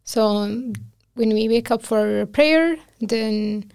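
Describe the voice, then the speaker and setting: A woman's voice: a little high, slow pace, gravelly, a little mellow, clear, resonant tone, dry recording. Earnest Afghan-accented woman, dry sound. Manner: earnest, emotional storytelling with quoted speech and laughter. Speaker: guest, physician. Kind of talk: casual interview conversation.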